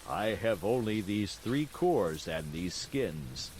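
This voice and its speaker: Man as kindly old woodcarver, slow, calm, gently lecturing, lively intonation, storybook. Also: low-pitched, slight background noise, full character voice, rough recording. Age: older. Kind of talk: storybook reading.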